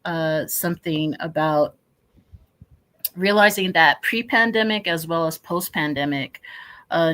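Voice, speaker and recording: A man's voice: on the high side, fairly bright tone. A man speaking earnestly as a panelist, hesitating often with "uh". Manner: earnest, hesitant, frequent "uh" fillers. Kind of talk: panel discussion.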